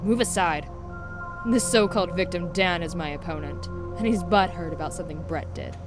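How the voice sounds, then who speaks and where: A man playing a blunt, brash young fighter in an animated story, impatient and irritable. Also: high voice, normal speed, over music, natural voice. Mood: brash, annoyed.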